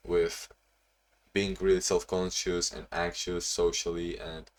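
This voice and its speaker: Low-voiced young man, halting vlog talk. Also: level tone. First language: not English; Portuguese not first language either. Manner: halting, restarts, reflective. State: sleepy. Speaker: vlogger. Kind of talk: casual diary-style vlog.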